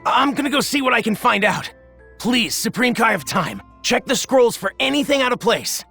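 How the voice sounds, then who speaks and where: Gravelly, forceful young warrior. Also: male voice, very high voice, very animated, moderate pace, faint music behind, full character voice. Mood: grave resolve. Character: serious, determined young warrior on guard. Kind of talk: animated game cutscene acting.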